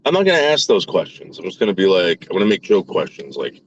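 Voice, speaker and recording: A man's voice: low-pitched, huge pitch swings, fast, highs cut off. A man in his forties hosting a casual chat while touring a historic site, joking offhand and trading mock insults.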